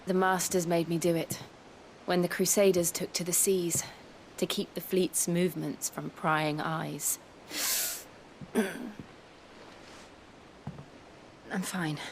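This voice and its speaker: An actress playing a grave, determined young woman in a video game, speaking in an earnest, measured way. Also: fairly low pitch, little pitch movement, a little hiss or hum. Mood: subdued, determined. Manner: earnest, measured, solemn delivery. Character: grave, determined young woman, former captive seeking closure. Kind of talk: dramatic video game voice acting.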